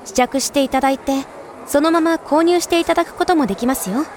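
A woman acting an overly polite, persuasive female shop clerk in a voice drama, speaking in smooth honorific sales talk. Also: high-pitched, quick pace, background noise, close to an everyday voice. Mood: pleased, obliging.

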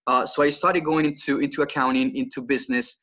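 A man's voice: medium pitch, fairly even intonation, fast, a bit bright, lo-fi sound. A man in his twenties from Colombia, speaking English with a Colombian Spanish accent, telling his story as a podcast guest in an earnest way, often repeating words as he restarts. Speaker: podcast guest, financial coach. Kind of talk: casual podcast interview.

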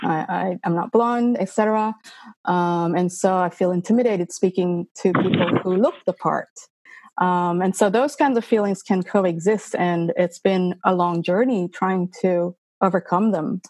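A woman's voice: lowish voice, a little slow, darkish tone, dry recording, rough recording. A woman co-hosting a discussion forum, speaking thoughtfully with many hedges and fillers. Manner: thoughtful, hedging, with frequent fillers. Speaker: forum co-host, moderator.

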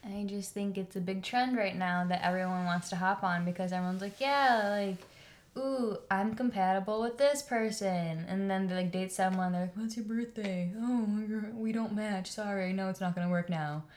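A woman's voice: mid-range voice, moderate pace, darkish tone. Giggly young woman, teasing. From Filipino family background. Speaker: podcast co-host. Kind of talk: casual chat.

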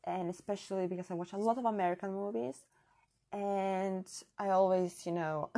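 A woman's voice: medium pitch, darkish tone. European lady w/ foreign accent, solo podcast chat, earnest and thoughtful, no real mic. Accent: non-native European accent in English. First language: not English. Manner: earnest, chatty, reflective. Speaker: podcast host. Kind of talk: casual solo podcast monologue. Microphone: built-in device mic, no proper microphone.

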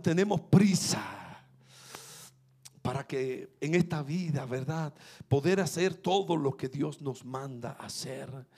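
A man's voice: a little high, darkish tone. A man preaching a sermon, fervent and repetitive, building to emphatic peaks. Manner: fervent, rising to emphatic peaks, repeats phrases.

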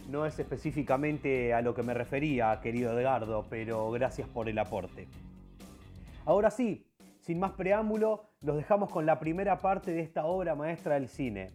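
A man's voice: on the high side, moderate pace, darkish tone, faint music behind, natural voice. An actor with an Argentine Rioplatense accent playing a pompous, easily exasperated film expert who hosts a comedic radio show, switching between formal and slangy. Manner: formal presenting that slips into slangy grumbling.

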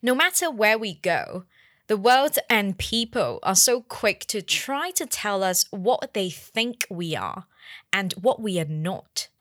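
A woman hosting a podcast, giving measured, reflective scripted narration. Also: mid-range voice, lively intonation, fairly bright tone, dry recording, studio quality. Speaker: podcast host.

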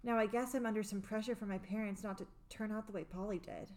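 A woman's voice: mid-range voice, steady tone, quick pace, darkish tone, natural voice. A woman playing an earnest, guarded teenage girl in an amateur radio play, speaking sincerely and a little hesitantly. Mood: hurt, confiding.

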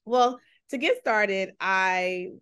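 A woman's voice: medium pitch, drawn-out words, a bit bright, smooth. A woman with a Texan accent hosting a podcast, chatty, restarting phrases and laughing often. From Texas, USA. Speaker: podcast host, therapist. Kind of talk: casual podcast interview.

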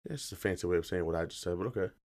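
Tired, raspy-voiced male podcast host. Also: low-pitched, racing, a little mellow. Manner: laid-back, joking slang. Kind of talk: casual chat.

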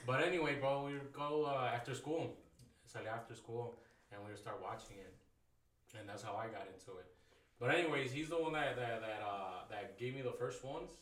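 A man around thirty talks easily as a podcast guest, drifting between Spanish and English while he tells his story. His voice is bright and clear. He sits back from the mic in a room that echoes. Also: mid-range voice, a little fast, far from the mic. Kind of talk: casual chat.

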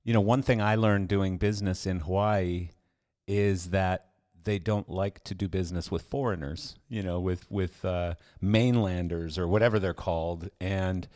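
A man's voice: low-pitched, fairly even intonation, normal speed, darkish tone. A man hosting a podcast, chatting casually and reacting to stories with laughter and enthusiasm. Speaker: podcast host. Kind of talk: casual chat.

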